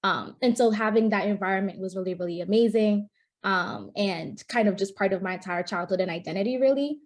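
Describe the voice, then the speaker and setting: Young woman panel guest from New York, mid-pitched, casual and friendly. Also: brisk. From New York, United States. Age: young adult. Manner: friendly, laughs often, many fillers.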